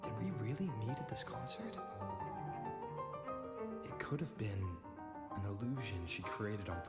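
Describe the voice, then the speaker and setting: An actress playing a brooding, doubtful game character who ponders slowly, as in an inner monologue. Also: female voice, very deep voice, very animated, full character voice. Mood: puzzled, reflective. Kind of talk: dramatic game voice acting. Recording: echoey voice under loud music. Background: loud instrumental music.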